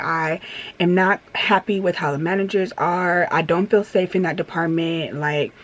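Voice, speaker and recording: A woman in her thirties chatting candidly in a storytime vlog, rambling with many fillers and restarts and turning emphatic as she vents. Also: lowish voice. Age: 30s. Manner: emphatic when venting. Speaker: vlogger. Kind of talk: casual storytime chat while getting ready.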